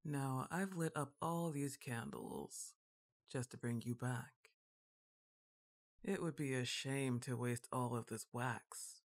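A woman playing a goth giantess witch girlfriend, exasperated and fondly bossy, with a drawn-out teasing delivery in an intimate ASMR roleplay. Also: rumbling low, heavily acted. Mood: teasing, commanding. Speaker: audio roleplay performer.

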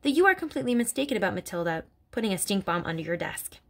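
A woman doing a gentle pleading young teacher. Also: medium pitch, sing-song, very fast. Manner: pleading, polite.

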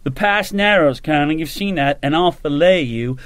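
A man's voice: on the high side, animated, moderate pace, dry recording, pitched above the performer's own voice, lightly acted. A man playing a fierce, swearing army officer. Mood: furious. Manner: gruff, swearing, bullying. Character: fierce brash male British army officer.

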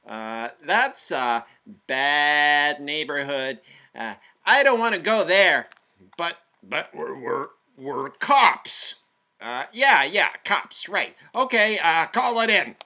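A man voicing an excitable, bumbling, stammering puppet cop in a comic puppet show. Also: a little high, takes it slowly, exaggerated voice. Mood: nervous. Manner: hesitant, stammering, exclamatory. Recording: loud music behind.

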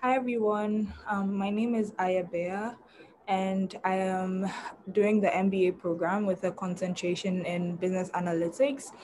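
A woman from Ghana, an MBA student, giving a calm, friendly self-introduction over a video call. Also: medium pitch, a little slow, slight background noise.

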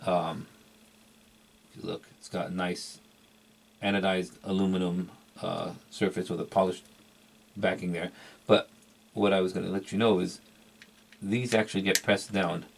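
A man's voice: low-pitched, flat delivery, moderate pace, gravelly, long pauses. A middle-aged man giving a casual, unscripted product review as a collector, rambling hesitantly and repeating words for emphasis. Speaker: vintage electronics collector and reviewer.

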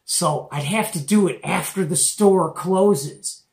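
Middle aged midwest guy telling a story, chatty n animated, slangy, echoey room. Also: high voice, takes it slowly, vocal fry. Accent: American Midwestern. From Detroit area, United States. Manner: animated, conversational, uses rhetorical questions and slangy asides. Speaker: host of a CB radio show-and-tell. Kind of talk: casual storytelling. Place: small cluttered indoor radio room. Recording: echoey room, mic at a distance.

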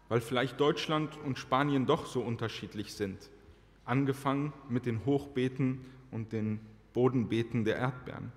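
Man, 30s, preacher at pulpit mic, earnest testimony, mid pitch, reverberant church hall. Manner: earnest, slows down to stress key phrases. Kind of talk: personal testimony sermon. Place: church sanctuary, large indoor hall.

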